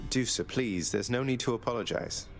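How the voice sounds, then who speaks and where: An actor playing a young, courteous prince of the underworld in a video game, dry and sardonic. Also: male voice, medium pitch, normal speed, vocal fry, faint music behind, lightly acted. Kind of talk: scripted video game dialogue.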